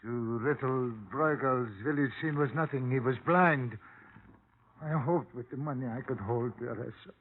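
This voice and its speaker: A man's voice: medium pitch, natural voice. Anguished old father played by a man, tinny sound. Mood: bitter, sorrowful. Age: older. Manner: halting, formal, emotional phrasing. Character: anguished old immigrant father, poor painter, proud and wounded. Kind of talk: 1950s radio drama acting. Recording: tinny, noisy old radio recording.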